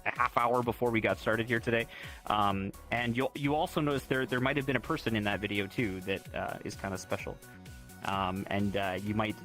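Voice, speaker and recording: A man chats his way through a livestream, upbeat and loose, with plenty of ums and restarts. His voice is bright and forward. Faint music plays underneath. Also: medium pitch. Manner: rambling, upbeat, many fillers and restarts. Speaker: livestream host. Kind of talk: casual livestream chat.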